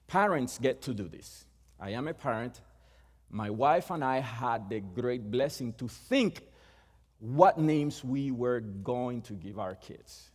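A middle-aged man from Puerto Rico with a Puerto Rican accent, Spanish being his first language, preaching a sermon in a halting way with many restarts. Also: medium pitch, lively intonation, darkish tone, breathy edge, long reverb tail.

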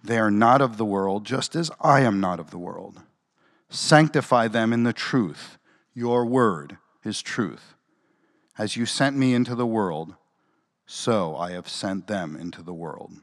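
A man's voice: lowish voice, lively intonation. Man reading scripture aloud. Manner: measured, formal reading. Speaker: scripture reader.

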